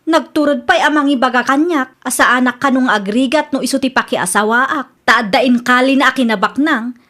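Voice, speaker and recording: A woman plays an indignant young girlfriend in an Ilocano radio drama. Her voice is very high, with an Ilocano accent and a stubborn, questioning delivery. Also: normal speed, bright, forceful tone. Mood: disappointed.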